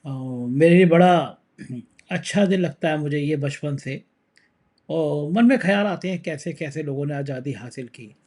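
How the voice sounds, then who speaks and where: A man's voice: fairly high pitch, normal speed, a little mellow. A man hosting a political news talk show, talking with a sore throat in a conversational, emphatic way.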